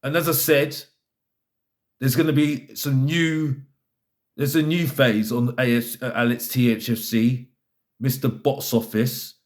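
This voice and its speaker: A man's voice: mid-range voice, level tone, unhurried. A man giving an opinionated football fan rant, exasperated and emphatic, repeating his phrases to drive points home. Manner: exasperated, fed up.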